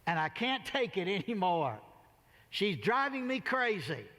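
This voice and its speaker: Man acting out a high-voiced, exasperated selfish judge. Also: very animated, unhurried, pushed and bright, heavily acted. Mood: exasperated ranting. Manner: ranting, fed up, emphatic. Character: exasperated, selfish male judge at wit's end. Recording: reverberant large hall.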